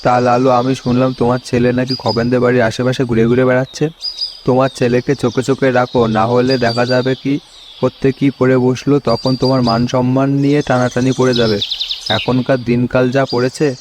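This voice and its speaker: Man playing a flat-toned, moralizing cartoon villager, noisy track. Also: mid-range voice, quick pace, natural voice. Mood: nosy, warning. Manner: grumbling, moralizing. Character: disapproving, gossiping village men, prim and moralizing. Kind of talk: comedy cartoon dialogue.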